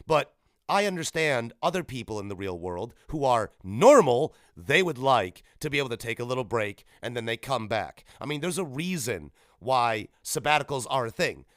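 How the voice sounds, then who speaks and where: A man chatting on his own podcast, middle-pitched and close to the mic in a dry, unroomy sound. He gets animated, jumping up in pitch and volume when he makes a point. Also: normal speed, no echo. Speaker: podcast host. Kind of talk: casual solo podcast chat.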